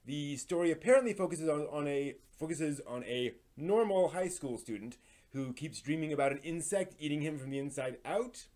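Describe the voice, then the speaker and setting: A man hosting a live stream, reading entertainment news in an informal, somewhat halting way with casual asides. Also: a little high, lively intonation, a little mellow. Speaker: live stream host presenting anime news.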